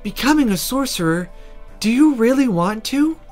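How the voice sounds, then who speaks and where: A man's voice: very high-pitched, lively intonation, moderate pace, darkish tone, soft background music, pitched above the performer's own voice. A man voicing a blunt, dismissive young female apprentice mage in a visual novel. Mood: challenging. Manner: dismissive, challenging.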